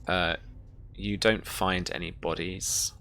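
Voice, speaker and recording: A woman narrating a tabletop sci-fi horror game as game master, halting as if searching through notes. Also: a bass voice, steady tone, fairly bright tone, a little hiss or hum.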